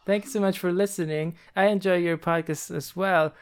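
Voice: medium pitch, steady tone, moderate pace, clear, resonant tone. A podcast host reading a rehearsed, upbeat introduction and then interviewing a guest.

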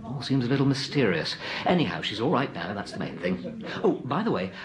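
An actor playing a genial but evasive English gentleman in a radio drama, with breezy, chummy upper-class chatter. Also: mid-range voice, sing-song, fairly quick, natural voice. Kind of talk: 1940s radio drama acting.

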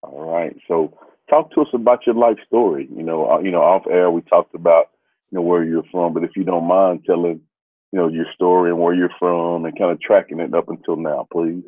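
A man's voice: sits low, steady tone, brisk, no room sound, phone-quality sound. A man hosting an interview show, upbeat and casual, leaning on "you know" as he talks. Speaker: podcast host.

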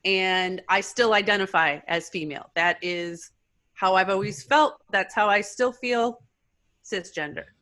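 A woman's voice: medium pitch, unhurried, bright, forceful tone. A woman, hosting an interview and asking thoughtful questions for parents. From rural Wisconsin, US Midwest. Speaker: podcast host. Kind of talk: conversational interview.

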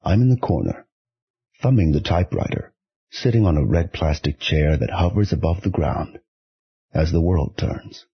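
Very deep-voiced man, measured podcast host. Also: lively intonation, slightly rough. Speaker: podcast host and poetry reader. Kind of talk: scripted podcast presenting and poetry reading. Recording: slightly dull, music behind.

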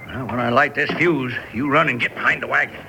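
A man's voice: mid-range voice, fairly even intonation, brisk, sharp tone. A man playing a scheming, pious-talking swindler in a radio western, bossy and folksy, with a rural Western American accent. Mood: brisk bossiness. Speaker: actor. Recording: noisy vintage radio broadcast.

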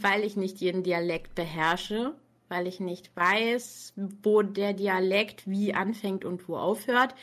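Chatty, laughing young woman. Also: medium pitch. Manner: chatty, laughing often, self-mocking. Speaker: author and reading coach. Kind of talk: casual livestream chat. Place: warm room at home.

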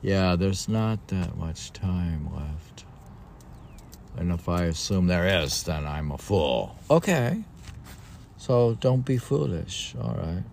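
A man's voice: low voice, animated, takes it slowly, gravelly, darkish tone, slight background noise. An older man reading a book aloud and adding casual, rambling, self-deprecating commentary of his own. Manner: rambling, musing, self-deprecating, repeats passages. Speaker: podcast host and reader.

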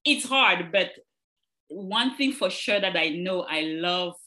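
Bright-voiced woman from Cameroon. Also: on the low side, animated, takes it slowly. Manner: warm, laughs readily, explains plainly. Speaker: interview guest, nurse practitioner. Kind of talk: casual interview chat.

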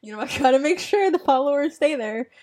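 A young woman in her twenties tossing in quick, offhand asides in a casual chat. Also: sits high, animated, a little mellow.

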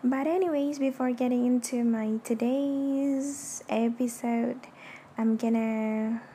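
A young Indonesian woman whose first language is Indonesian hosts her own podcast in a soft, hushed, slow way, talking casually from her bed late at night with a fan running in the room. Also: a little high, steady tone. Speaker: podcast host. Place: dark bedroom at night with an electric fan running. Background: electric fan hum.

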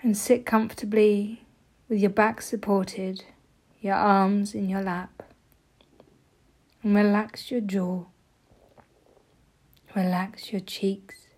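Slow, soft-spoken woman guiding meditation. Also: medium pitch, level tone. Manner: soft, gentle delivery, often breathy. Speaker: meditation guide. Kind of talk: guided meditation, slow soothing instruction.

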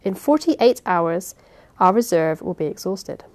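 A woman voicing a calm, cold male sea captain who speaks in a measured, lecturing way. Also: on the low side.

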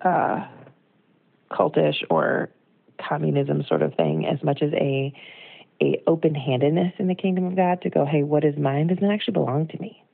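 An American woman with a low voice and plenty of vocal fry shares an informal devotional. She is conversational, reflective and earnest. The sound is thin and narrow, as on a phone voicemail. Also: lively intonation, normal speed. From United States. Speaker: devotional speaker.

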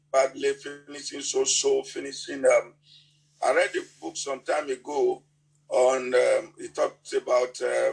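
A man with a Nigerian accent preaching a sermon, halting and often repeating words as he restarts his sentences. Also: medium pitch, creaky, rough recording.

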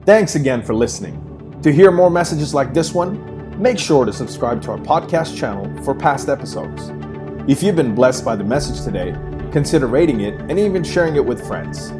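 Man, podcast announcer, scripted outro, measured read, music behind. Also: mid-range voice, animated.

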